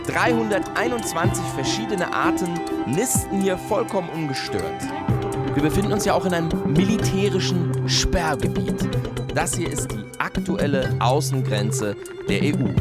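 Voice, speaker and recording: A radio drama presenter played by an actor, guiding listeners through border country with animated, swinging intonation at a medium pitch. The scripted narration runs over loud music. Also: moderate pace, natural voice. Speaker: radio host.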